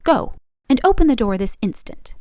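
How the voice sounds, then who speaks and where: A woman voicing a bossy storybook stepmother who scolds and gives sharp commands. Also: on the high side, big pitch swings, moderate pace, no room sound, clean studio sound, pitched above the performer's own voice. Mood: stern, scolding.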